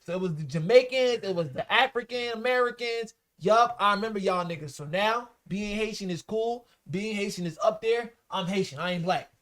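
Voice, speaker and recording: A man co-hosting a laid-back podcast, talking in a blunt, emphatic way full of profane street slang. His voice sits a touch higher than most men's. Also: normal speed, few pauses, a bit bright. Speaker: podcast co-host. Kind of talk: casual chat about rap and culture.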